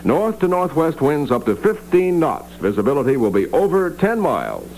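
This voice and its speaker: A man's voice: a little high, huge pitch swings, normal speed, a little mellow, low-quality recording. A man reading scripted copy as a radio announcer in a polished, formal 1960s newscast style. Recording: 1960s AM radio aircheck, hum and hiss.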